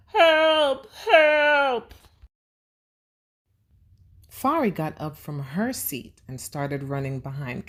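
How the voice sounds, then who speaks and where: A woman reads a storybook for young children in a slightly low, very lively voice. She takes her time and speaks to the children directly, like a teacher guiding a simple lesson. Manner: expressive, addressing children directly with questions and praise. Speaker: children's read-aloud host and teacher.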